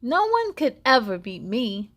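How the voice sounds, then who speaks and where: Woman playing a high-pitched boastful young male cheetah. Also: big pitch swings, a little slow, fairly bright tone. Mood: proud. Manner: boastful, emphatic. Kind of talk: children's storybook read-aloud.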